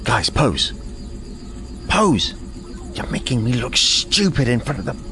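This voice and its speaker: An actor playing a cocky, theatrical squad leader of rangers in an audio drama. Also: male voice, medium pitch, very animated, slow pace, music in the background. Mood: showy.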